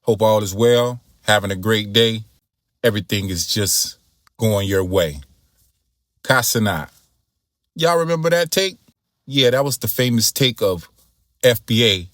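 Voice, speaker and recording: American male commentary host, fairly low voice, blunt slangy delivery, dry close recording. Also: a bit bright, dry recording. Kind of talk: casual opinion commentary.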